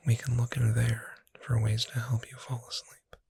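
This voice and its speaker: A performer voicing a gentle, reassuring witch bookseller in a breathy whisper, soothing the listener in an ASMR roleplay. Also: mid-range voice, flat delivery, heavily acted. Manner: slow, gentle, soothing, addressing the listener directly.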